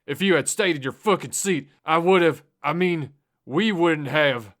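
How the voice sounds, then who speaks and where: A man voicing a panicking, furious teenage boy driver who yells and swears threats. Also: fairly high pitch, a little slow, voice pushed up.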